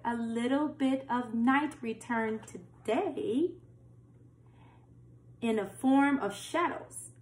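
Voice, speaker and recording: A young woman reading a picture book aloud to children, adding simple explanations and questions for her young listeners. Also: fairly high pitch. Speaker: storytime reader for children. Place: small plain-walled room indoors. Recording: roomy, echoing home recording.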